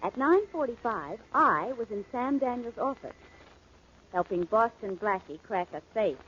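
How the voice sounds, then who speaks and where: A woman's voice: a little high, lively intonation, unhurried, background noise. An actress playing a composed, determined young woman in a 1940s radio drama, firm and pointed. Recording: muffled 1940s radio recording.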